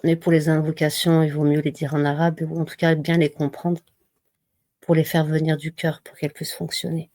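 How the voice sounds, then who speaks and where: A woman giving a slow, measured devotional reading and reminder, pausing often between phrases. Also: low voice, little pitch movement, mellow, no room sound. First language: not Arabic. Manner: slow, measured recitation with pauses. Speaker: religious reminder speaker.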